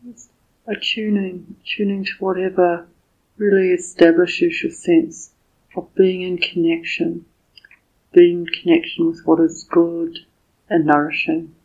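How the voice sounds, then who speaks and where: A woman meditation teacher leading a guided meditation in a soft, slightly husky voice, speaking gently and deliberately with pauses between short phrases. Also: on the low side, level tone, dull audio.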